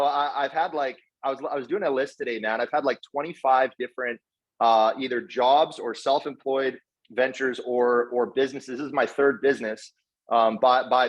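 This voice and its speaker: A man giving an informal motivational talk in an earnest, slangy way, often doubling back on his words. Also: medium pitch. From Canada, partly raised in South Africa. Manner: earnest, effusively grateful, slangy bro-talk with repeated words. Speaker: guest speaker, network marketer. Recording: dull, lo-fi online call audio.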